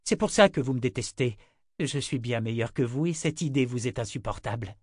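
A man's voice: medium pitch, fairly quick, darkish tone, husky, no echo, studio quality, natural voice. A man reading an audiobook, voicing a proud, scornful young woman held prisoner, accusing her captor. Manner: cutting, accusing.

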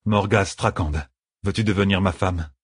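A man's voice: sits low, steady tone, moderate pace, husky, no room sound, studio quality. A man voicing a devoted, proud soldier, formal and earnest, in an audiobook. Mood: tender. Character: earnest, devoted male soldier, passionate and wounded in pride.

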